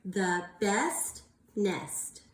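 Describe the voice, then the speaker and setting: A woman's voice: medium pitch, very slow, roomy sound, slight background noise. A woman teacher reading aloud to young children, encouraging them with questions and exaggerated enunciation. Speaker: early-years teacher.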